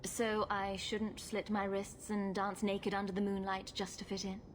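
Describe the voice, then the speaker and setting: An actress playing a sarcastic, cool-headed rogue champion in a video game, with a dry manner. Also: female voice, mid-range voice, monotone, a little slow, a little hiss or hum, close to an everyday voice. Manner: dry, sardonic. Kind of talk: video game cutscene acting.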